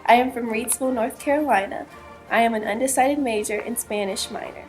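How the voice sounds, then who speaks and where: A woman in her late teens, a first-year student, introducing herself in a friendly, clear way. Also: fairly high pitch, brisk, soft background music, low-quality recording. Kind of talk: self-introduction.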